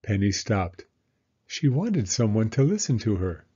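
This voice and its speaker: Slow, expressive man reading a storybook. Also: on the low side, breathy edge, lo-fi sound. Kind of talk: scripted children's story narration.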